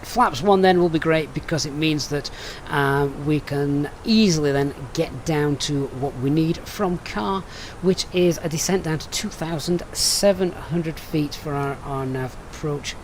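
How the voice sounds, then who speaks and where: A man with a Sheffield accent talks through a flight plan step by step, then chats easily with viewers. His voice sits a little higher than most men's. Faint noise hums under the recording. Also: measured pace. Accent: Sheffield English. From Sheffield, England. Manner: methodical, chatty, reads out viewer comments. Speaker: flight sim streamer. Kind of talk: live-stream briefing and chat.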